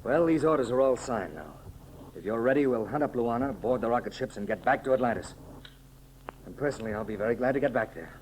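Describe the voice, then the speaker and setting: An actor in a 1930s radio serial playing a bold, commanding hero emperor with a declamatory, decisive delivery. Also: male voice, medium pitch, lively intonation, quick pace, darkish tone, lightly acted. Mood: brisk, composed. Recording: noisy old 1930s radio recording.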